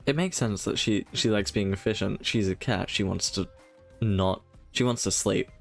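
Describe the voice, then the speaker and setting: A man giving casual live commentary as a game streamer, musing aloud in a halting way. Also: fairly low pitch, vocal fry, faint music behind.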